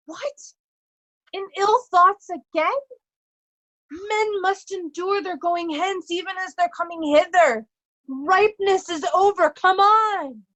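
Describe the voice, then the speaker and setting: A woman plays a devoted young noble son in a stage tragedy. The voice is very high, and the old verse comes out earnest and urging. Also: takes it slowly, fairly bright tone, full character voice, low-quality recording. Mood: urgent. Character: loyal, urgent young nobleman guiding his father. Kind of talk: Shakespearean verse read in reader's theatre.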